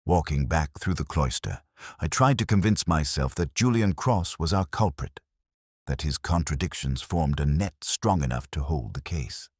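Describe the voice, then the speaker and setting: Very deep-voiced man narrating a detective story. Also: animated, normal speed, a little mellow, slightly rough, dry recording, clean studio sound. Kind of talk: scripted first-person detective story narration.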